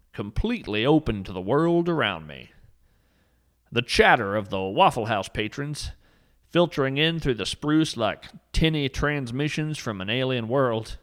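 A man's voice: medium pitch, a bit bright. A man narrating a scripted story with dry, mock-serious humor.

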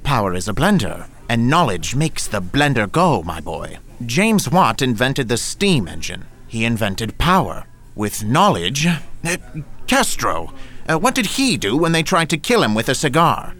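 A man's voice: medium pitch, very animated, a little slow, fairly bright tone. An actor playing a pompous, grandiose male academic who steals books, lecturing and rambling in an audio drama.

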